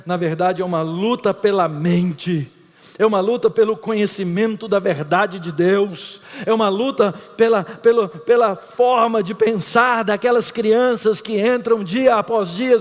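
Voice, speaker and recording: A middle-aged Brazilian man with a Brazilian Portuguese accent, preaching an emphatic lecture-style sermon that swings between loud and hushed. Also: high voice. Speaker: pastor. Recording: reverberant hall.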